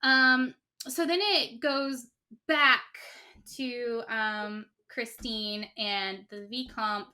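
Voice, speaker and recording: A woman's voice: fairly high pitch, steady tone, very slow, pushed and bright. A woman co-hosting a casual podcast, retelling a story with lots of fillers and acted-out quotes. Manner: rambling, many fillers, acts out quotes. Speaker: podcast co-host.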